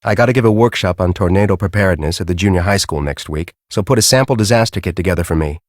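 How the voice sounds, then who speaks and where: An actor playing a grumpy, bossy middle-aged storm-chasing professor in a video game, with an American accent and a brusque, sarcastic, exasperated manner. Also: male voice, low-pitched, fast, no room sound, studio quality, lightly acted. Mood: bossy, curt. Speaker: video game character, research team leader.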